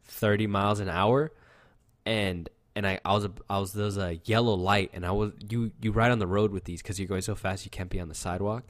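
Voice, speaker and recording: Low-voiced young man rambling casually. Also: fairly even intonation, brisk, no room sound, studio quality. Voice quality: sounds youthful, like a teenager. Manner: rambling, loose storytelling with lots of fillers and swearing. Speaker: podcast co-host. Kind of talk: casual chat.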